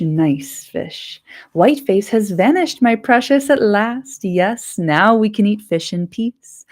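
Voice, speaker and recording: A woman voicing a wretched, greedy male creature in a hissing, high, whiny voice that slips into whispers.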